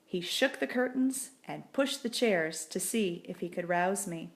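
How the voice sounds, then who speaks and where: Slow-paced woman reading a children's story. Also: on the low side, lively intonation. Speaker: audiobook reader. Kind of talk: scripted children's story narration.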